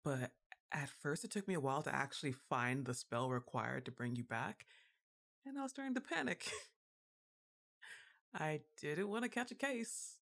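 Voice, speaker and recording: A woman's voice: low-pitched, expressive, unhurried, vocal fry, long pauses, fairly bright tone, full character voice. A woman playing a goth giantess witch girlfriend in an ASMR roleplay, exasperated but fond, with a casual, wry, hesitant delivery. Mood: flustered, scolding.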